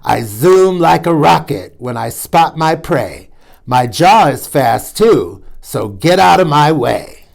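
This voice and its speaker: A man's voice: on the high side, sing-song, slow, creaky, heavily acted. A man doing a breathless, cocky dragonfly nymph. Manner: boastful, threatening in rhyme. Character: fierce speedy dragonfly nymph, breathless predator.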